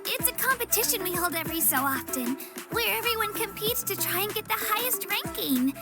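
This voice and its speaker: A woman voicing an earnest, modest young warrior girl in a video game, youthful and a little hesitant. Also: very high-pitched. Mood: friendly, explanatory. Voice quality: youthful, girlish. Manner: earnest, self-effacing, hesitant. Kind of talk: video game character voice acting.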